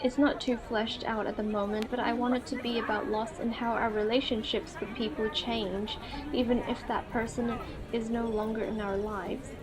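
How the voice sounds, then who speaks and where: A woman's voice: a little high, flat delivery, moderate pace, lightly acted. A woman voicing an aloof, self-doubting literature student in a visual novel, reserved, dry and reluctant. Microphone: earphone mic. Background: game music.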